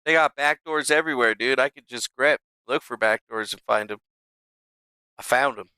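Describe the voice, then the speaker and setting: A man's voice: medium pitch, moderate pace, sharp tone, smooth, no room sound. Brash, sarcastic male streamer. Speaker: streamer host. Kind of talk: casual profane rant.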